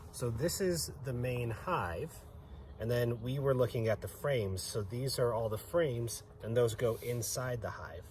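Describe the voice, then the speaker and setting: A man with a medium-pitched voice explains things informally to children as a guest. His delivery is plain and orderly, going step by step. There is faint noise in the recording. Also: measured pace, a little mellow.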